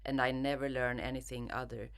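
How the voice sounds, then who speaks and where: A woman's voice: a bass voice, flat delivery, creaky, clean tone. A woman with a Swedish accent, an author who speaks thoughtfully as an interview guest or reading from her own writing. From Sweden. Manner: halting, searching for words. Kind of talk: thoughtful interview talk and reading aloud from a novel.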